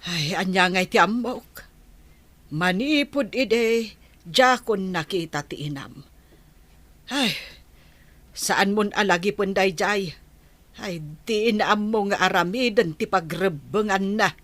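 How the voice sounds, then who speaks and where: Radio drama: actress as elderly grandmother, stern yet loving, unhurried Ilocano Filipino delivery, close dry sound. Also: medium pitch, a bit bright, dry recording. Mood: bitter. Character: old grandmother, loving but stern and bitter.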